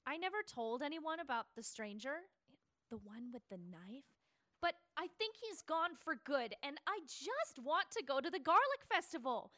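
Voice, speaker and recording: A woman playing an eager, inquisitive young schoolchild, naive and expressive, in an audio play. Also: high-pitched, moderate pace, exaggerated voice. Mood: eager, confiding.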